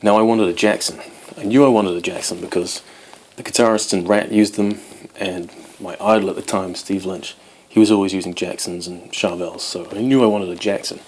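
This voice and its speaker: Low-voiced young Welsh-born man rambling. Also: fairly even intonation, a little fast, few pauses, darkish tone, a little hiss or hum. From Wales. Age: 20s. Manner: chatty and rambling, many ums and you-knows. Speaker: guitar channel host. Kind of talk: casual talk to camera.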